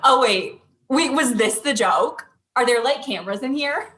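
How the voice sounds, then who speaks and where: A woman's voice: fairly high pitch, lively intonation, moderate pace, a bit bright. A woman playing a plain-spoken thirty-year-old professional with a questioning, conversational manner in a comedy table read. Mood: incredulous.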